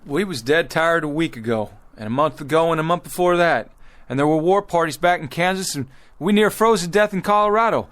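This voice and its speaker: A man playing a determined, stubborn pioneer father leading a wagon train in a radio drama, with rustic, emphatic and sometimes halting speech. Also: fairly high pitch, a bit bright, lightly acted. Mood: stubborn resolve. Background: music.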